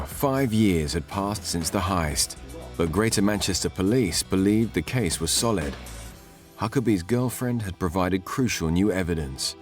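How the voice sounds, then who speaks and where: A man reading scripted narration for a true-crime documentary. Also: sits low.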